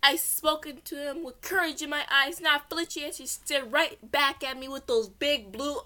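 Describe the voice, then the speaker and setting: Amateur female storyteller, higher-pitched and very bright, giggly, informal, with frequent stumbles and self-corrections. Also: steady tone, moderate pace. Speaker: amateur storyteller reading fan fiction aloud. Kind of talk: read-along narration with character voices.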